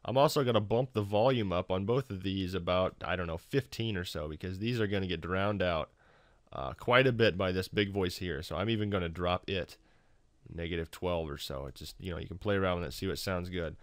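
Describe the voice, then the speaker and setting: A man hosting a casual software tutorial in an informal, wry, self-deprecating way. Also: low voice, fairly quick, dry recording, studio quality. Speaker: tutorial host.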